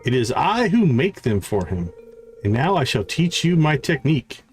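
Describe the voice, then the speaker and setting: A man acts out a genteel lady florist in a farming game. She is prim and fussy, choosing formal, old-fashioned words. Her delivery is animated, rising and falling freely over soft music. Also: mid-range voice, normal speed, close to an everyday voice. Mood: genteel, confiding.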